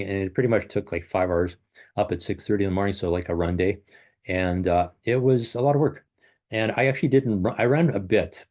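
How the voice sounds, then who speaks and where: Rambling low-voiced man in his sixties. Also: darkish tone. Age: 60s. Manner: rambling, self-correcting, upbeat asides. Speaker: running coach and race director. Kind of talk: casual talk to a virtual clinic. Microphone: computer video call.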